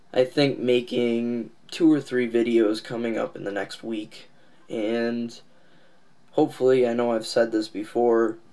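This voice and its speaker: A congested man with a nasal voice, getting over a cold, giving a casual, halting update to his viewers. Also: mid-range voice, warm tone. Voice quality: nasal, stuffed-up. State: congested, recovering from a cold and lost voice. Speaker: comics channel host.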